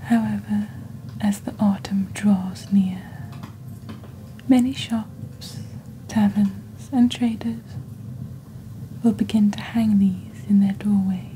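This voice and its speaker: A woman softly presenting products in a hushed, breathy, gentle and deliberate ASMR style, like a scripted fantasy shopping channel. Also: medium pitch, fairly even intonation, a little hiss or hum, low-quality recording. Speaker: host of a witch-themed shopping channel roleplay.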